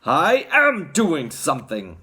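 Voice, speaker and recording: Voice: medium pitch, wildly swooping pitch, slow pace, bright, forceful tone, exaggerated voice. A storybook reader voicing a grumbling, self-regarding father who protests in exclamations. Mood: protesting.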